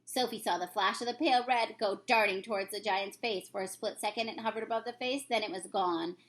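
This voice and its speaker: A woman reading a children's story aloud in expressive narration. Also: mid-range voice, a little fast. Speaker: reader, teacher.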